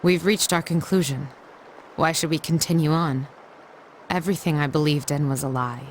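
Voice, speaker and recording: A woman's voice: low voice, moderate pace, slight background noise, lightly acted. A woman voicing a proud, reserved young noblewoman in an anime game, speaking formally and with measure. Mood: despairing. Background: music.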